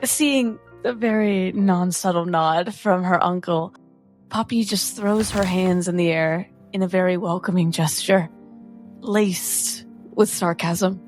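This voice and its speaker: A woman's voice: fairly low pitch, slow, a little mellow. A woman playing a tabletop roleplaying game, improvising narration of her character's actions. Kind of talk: improvised third-person narration and in-character play. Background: faint background music.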